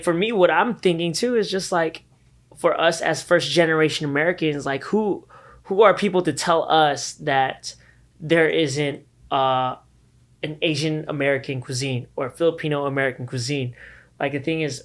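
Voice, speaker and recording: A man from Southern California with a slightly higher voice, talking as a chef guest. He is emphatic and repeats himself, scattering "like" through every line. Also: normal speed. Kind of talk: casual podcast chat.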